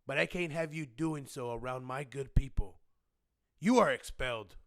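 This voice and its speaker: An actor playing a stern, pious authority figure in a radio drama, preachy and formal in manner. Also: male voice, mid-range voice, moderate pace, no echo, studio quality, lightly acted. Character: stern, pious short man in charge, paternal. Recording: loud music over the voice.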